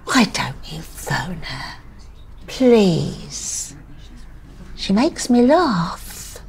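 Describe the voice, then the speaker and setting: Slow-spoken older widowed mother. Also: mid-range voice, slight background noise. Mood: wistful pleading. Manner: rambling, plaintive, deadpan wit. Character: grieving older widowed mother, wry, pleading and stubborn.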